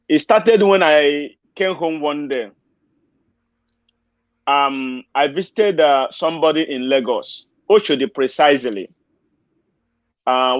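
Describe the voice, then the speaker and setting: A man's voice: on the high side, dry recording. A man with a Nigerian accent giving an unscripted personal account as an interview guest, explaining step by step with emphasis. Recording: dry, slightly dull lo-fi audio.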